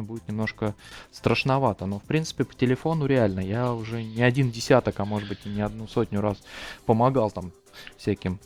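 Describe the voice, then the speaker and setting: A man's voice: on the low side, a little mellow. A man giving an informal technical explanation as an expert on a podcast.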